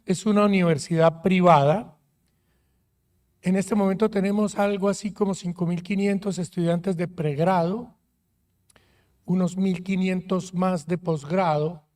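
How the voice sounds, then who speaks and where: A man from Colombia, a university rector speaking on a conference panel in a friendly, measured way with frequent hesitations. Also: sits high, steady tone, a little mellow. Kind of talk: panel talk. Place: conference auditorium.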